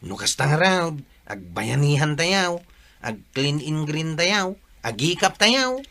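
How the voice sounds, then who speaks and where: An actor playing an easygoing, food-loving village sidekick with an Ilocano accent in a comedy radio drama. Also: male voice, fairly high pitch, very animated, slow, bright, forceful tone, exaggerated voice. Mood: cheerful.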